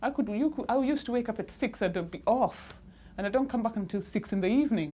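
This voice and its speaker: A woman, a documentary interviewee recalling her childhood in a lively, conversational way. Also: fairly high pitch, fast, breathy edge. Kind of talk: reminiscing to camera.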